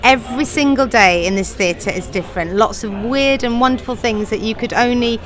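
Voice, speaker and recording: A woman's voice: on the high side, moderate pace, faint music behind. A middle-aged woman, a pantomime writer, director and actor, answering interview questions in a chatty, effusive way and laughing easily.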